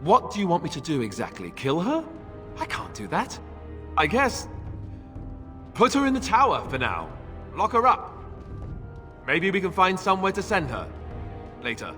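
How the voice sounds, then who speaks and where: Casting: wry, reluctant young fantasy-game prince, self-doubting, swinging lively inflection, somewhat high bright voice. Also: male voice, normal speed, long pauses, exaggerated voice. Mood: uneasy. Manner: hesitant, self-deprecating, wry. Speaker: actor in a fantasy role-playing game. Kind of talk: dramatic game cutscene dialogue. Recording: long hall reverb, music underneath.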